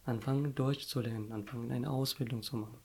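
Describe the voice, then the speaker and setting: A man's voice: medium pitch, fairly even intonation, fast talker, creaky, a little mellow, breathy edge. A young man whose first language is Arabic, speaking casually in an interview with frequent restarts and fillers as he searches for words.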